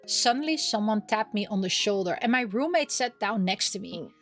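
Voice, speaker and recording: A woman reading a visual novel aloud as a gameplay host, expressive and theatrical, with playful asides. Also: mid-range voice, moderate pace, soft background music.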